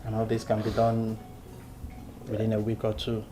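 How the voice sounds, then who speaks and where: A man's voice: fairly low pitch, monotone, normal speed, noisy background, lightly acted. A man with a Nigerian accent playing a prince's candid young friend in a naturalistic film drama. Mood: excitable. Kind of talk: naturalistic Nollywood film acting.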